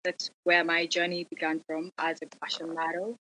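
A woman from Uganda, a fashion model and guest, telling her story in a plain, steady way. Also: on the low side, moderate pace, poor audio. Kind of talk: casual conversation.

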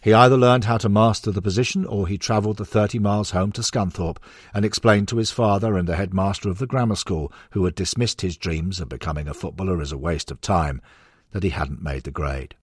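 Very deep-voiced man reading an audiobook. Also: fairly quick, slightly rough, dry recording, studio quality. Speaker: narrator reading a non-fiction book. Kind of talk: scripted audiobook narration of sports history.

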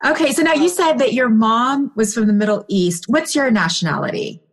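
Husky-voiced woman interviewer. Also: fairly high pitch, animated, normal speed. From India, raised in America. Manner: short encouraging responses. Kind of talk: conversational interview.

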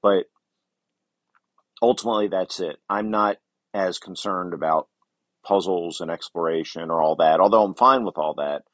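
A man's voice: low voice, moderate pace, long pauses, fairly bright tone, no echo. A man calling in to a podcast with a casual, unscripted message.

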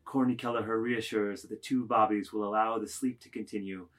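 A man's voice: lowish voice, fairly even intonation, brisk, fairly bright tone. A man reading stage directions as scripted narration in a literary table reading.

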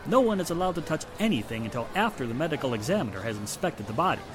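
An actor playing a matter-of-fact, assertive police inspector in the style of a 1940s radio mystery. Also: male voice, fairly high pitch, sing-song, fast talker. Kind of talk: 1940s radio drama acting. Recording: music and street sounds behind the voice.

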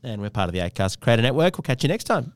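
Lively male podcast host, dry studio sound. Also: medium pitch, racing, no echo. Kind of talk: casual chat.

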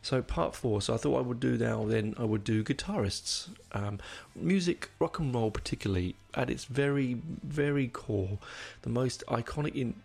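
A man hosting a music podcast in a casual, rambling way, his voice croaky from a cold. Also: medium pitch, normal speed, few pauses, darkish tone. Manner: rambling, many ums and restarts. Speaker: podcast host.

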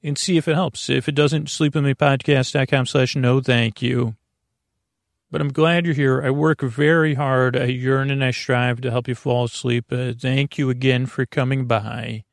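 A man hosting a bedtime podcast with a creaky voice, meandering hesitantly through a soothing monologue and often losing his thread. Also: medium pitch, steady tone, normal speed, no room sound, studio quality. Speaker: host of a bedtime story podcast to help listeners sleep.

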